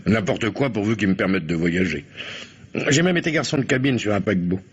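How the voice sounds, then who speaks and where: An actor playing a guarded, courteous café waiter in his thirties, husky and dryly ironic, in an old French radio drama. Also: on the low side, expressive, a little fast. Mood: guarded, casual. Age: 30s. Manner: laconic, polite, dryly ironic. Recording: muffled old radio recording.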